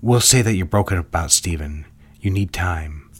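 A married boss in an audio drama who steers everything with calm command. His voice is very low and smooth. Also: moderate pace. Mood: controlling. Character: controlling married boss, man, middle-aged. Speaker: lawyer boss.

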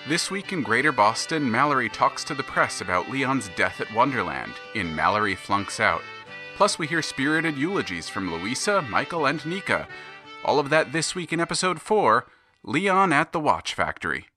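A man's voice: mid-range voice, big pitch swings, normal speed, music in the background, natural voice. A man narrating a scripted literary audio drama serial as its storyteller. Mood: brisk announcing.

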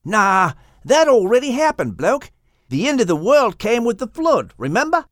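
A blustery, cheerful kangaroo bloke with an Australian accent, acted out by a woman for a children's audiobook. The pitch sits high and leaps about energetically. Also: big pitch swings, moderate pace, dry recording, clean studio sound. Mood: brash.

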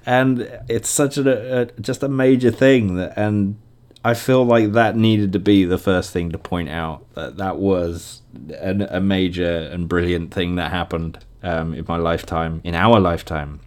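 British male podcast host, 30s, fairly low voice, chatty and rambling solo delivery. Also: steady tone, measured pace, darkish tone. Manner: rambling, chatty, self-interrupting. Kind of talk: casual solo podcast chat.

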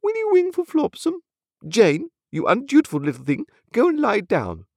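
Storybook role: male reader as grand, easily affronted mother, imperious, shrill-high pitch, very animated. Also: normal speed, creaky, no room sound, clean studio sound, exaggerated voice. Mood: peremptory. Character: haughty, indignant upper-class mother on her dignity. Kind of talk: dramatised classic novel reading.

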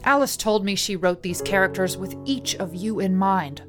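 An actress playing a brisk, dutiful woman who runs a remote mountain lodge in a radio play. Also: mid-range voice, natural voice. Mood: persuasive. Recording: music behind the voice.

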